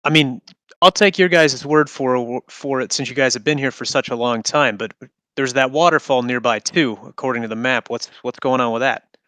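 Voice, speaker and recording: A man's voice: mid-range voice, normal speed, sharp tone, no echo. A man new to a tabletop group, speaking politely and asking careful questions. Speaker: new player. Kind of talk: casual tabletop banter.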